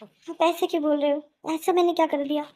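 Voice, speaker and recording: Meek, aggrieved young cartoon daughter-in-law, high mellow voice, pleading, played by a woman. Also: level tone, a little fast, lightly acted. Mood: hurt, pleading. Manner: plaintive, pleading, self-pitying. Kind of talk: melodramatic Hindi cartoon voice acting.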